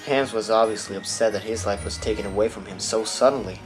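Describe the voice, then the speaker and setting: An actor playing a measured, thoughtful witness explaining a theory in a courtroom audio drama. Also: male voice, fairly low pitch, music in the background, natural voice, rough recording.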